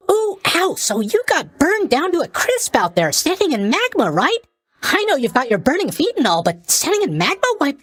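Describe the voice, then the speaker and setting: Casting: very high-voiced, bouncy young sleep god for a game; folksy, rambling greeter, swooping intonation, tactless but sunny. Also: male voice, a bit bright, no room sound, heavily acted. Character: cheerful, drowsy young male god of sleep, chatty underworld greeter. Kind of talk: animated video game character voice lines.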